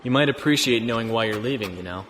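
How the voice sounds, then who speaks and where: A man's voice: medium pitch, fast talker, fairly bright tone, slight background noise, close to an everyday voice. A man playing an easygoing fugitive starship captain in a science fiction audio drama, with a casual, understated delivery. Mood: wry, gentle. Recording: music bed behind the voice.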